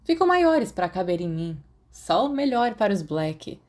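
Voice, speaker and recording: A woman's voice: medium pitch, very animated, moderate pace, a little mellow, close to an everyday voice. A woman with a Brazilian accent voicing a cocky, playful teenage wizard schoolboy in an audiobook. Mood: teasing, boastful. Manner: teasing, boastful.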